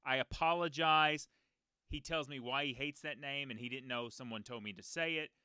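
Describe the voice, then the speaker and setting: A man in his thirties with a deep voice, a race announcer hosting a casual podcast and telling long stories with many fillers. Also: normal speed, sharp tone, smooth, no room sound, studio quality. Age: 30s. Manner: rambling storyteller, many fillers and restarts.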